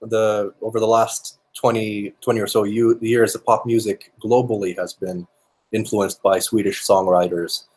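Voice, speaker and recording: Low-voiced Finnish man, halting answers. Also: level tone, a little mellow, slightly rough, poor audio. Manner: thoughtful, with many fillers and restarts. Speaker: musician guest. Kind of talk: conversational interview answers.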